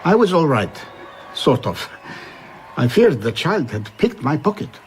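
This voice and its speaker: A man's voice: a little high, very animated, unhurried, a little hiss or hum. An actor playing a haunted, wistful traveller reflectively recounting his past in a radio drama. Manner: reflective, storytelling.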